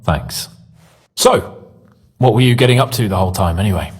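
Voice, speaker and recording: An actor playing a cocky, excitable young nobleman in a video game, with a husky voice and a theatrical manner. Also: lowish voice, moderate pace. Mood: sheepish. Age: young adult. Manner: theatrical, animated.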